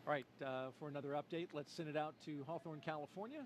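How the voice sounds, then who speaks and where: A man giving live commentary on a rocket launch, explaining things in a relaxed, friendly way. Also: on the high side, quick pace, a little hiss or hum.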